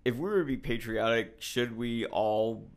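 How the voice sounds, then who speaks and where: A man's voice: medium pitch, normal speed, clean tone. A middle-aged man with an American accent, chatting informally and bluntly as an interviewer, rambling a little. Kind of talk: casual opinionated conversation.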